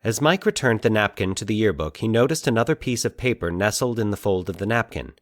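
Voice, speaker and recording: A man narrating a scripted, eerie fiction story as an expressive storyteller. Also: lowish voice, fast, no room sound, studio quality.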